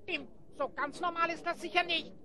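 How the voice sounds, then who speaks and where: A woman voicing a small, cheeky, hungry animal sidekick in a children's audio drama, squeaky and pert. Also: steady tone, normal speed, sharp tone. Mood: curious, excited.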